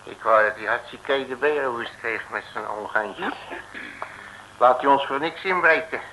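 An actor playing a cheeky, sardonic working-class detective in an old radio comedy serial. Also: male voice, mid-range voice, moderate pace, pushed and bright, close to an everyday voice. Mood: grumbling. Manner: grumbling, sardonic, colloquial. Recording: noisy old radio recording.

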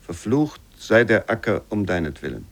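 An actor playing a solemn reciter who reads old literary prose deliberately for a radio play. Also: male voice, lowish voice, sing-song, vocal fry, full character voice. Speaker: reader of literary quotations.

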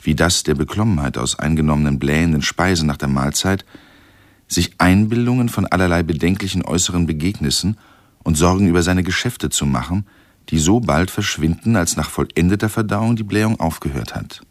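A sober male narrator, voiced by an actor reads scholarly prose for a radio play. The voice is low, the delivery formal and measured, the studio sound close and dry. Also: no room sound, close to an everyday voice. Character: sober male narrator reading out old scholarly medical text.

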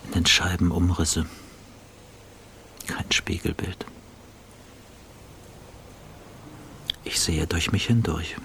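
An actor playing a self-doubting, bitterly cynical journalist in a radio play monologue, husky and breathy, often dropping toward a whisper. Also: male voice, low voice, fairly even intonation, measured pace, bright, forceful tone, slight background noise, exaggerated voice. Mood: bleak, detached.

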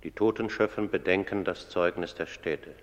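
Casting: male actor for solemn, very low-voiced chronicler of an underworld court. Formal radio drama, long reverb. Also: normal speed, close to an everyday voice. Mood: grave. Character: grave male narrator of a tribunal of the dead. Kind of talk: solemn scripted verse narration, radio drama.

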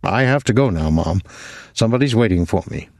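A curt, impatient young businessman, as a man reads him, clipped and dry. The pitch still moves in lively turns. The sound is dead and close. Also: fairly quick, creaky, dry recording.

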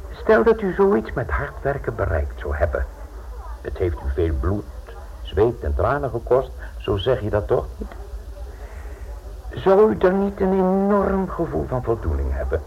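Menacing mock-polite mobster, swooping delivery. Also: male voice, medium pitch, wildly swooping pitch, creaky, full character voice. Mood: insinuating. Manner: mock-courteous, insinuating, deliberate, pausing for effect. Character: menacing Italian male mafia enforcer, mock-polite, theatrical. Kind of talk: Dutch radio play acting. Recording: dull, noisy old radio play recording.

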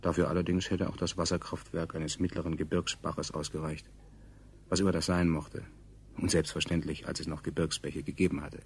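Very low gravelly guy narrating sci-fi radio drama, detached and ironic, bit of background noise. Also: flat delivery, fairly quick. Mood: detached irony. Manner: dry, ironic, wordy. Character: detached, ironic male narrator recounting a catastrophe. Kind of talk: scripted narration, science fiction radio play. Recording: faint noise, 1970s radio production.

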